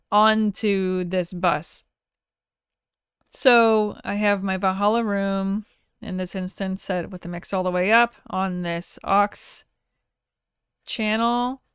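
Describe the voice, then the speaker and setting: A woman teaching audio software in a casual, conversational screen-share tutorial, informal and enthusiastic about her tools. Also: medium pitch, smooth, no room sound, studio quality.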